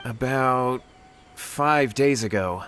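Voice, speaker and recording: A man's voice: mid-range voice, slow pace, fairly bright tone, slight background noise. A man playing an anxious, deferential young swordsman in a video game, speaking politely and earnestly as a supplicant. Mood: insistent. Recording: faint music behind.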